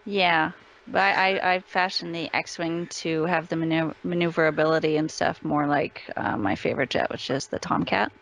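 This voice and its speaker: Lady guest chatting casually in an interview, lots of vocal fry. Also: low-pitched, fairly even intonation, normal speed, a bit bright, poor audio.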